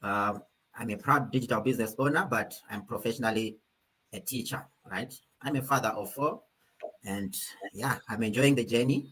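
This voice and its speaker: A man from Zimbabwe with a husky voice, introducing himself as a guest on a panel. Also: mid-range voice, normal speed, a bit bright, rough recording.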